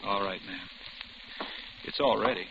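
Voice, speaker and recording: An actor playing a taciturn, deadpan young diner man with an eerily blank manner in old radio drama. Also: lowish voice, slow pace. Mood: guarded, curt. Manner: terse, guarded, deadpan. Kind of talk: 1940s radio drama acting. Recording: noisy, dull vintage radio recording.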